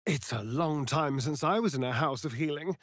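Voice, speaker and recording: A man's voice: on the high side, moderate pace, no echo, studio quality, natural voice. A man playing a sardonic, vain vampire spawn in a fantasy video game, with an arch, theatrical drawl. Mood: sardonic, musing.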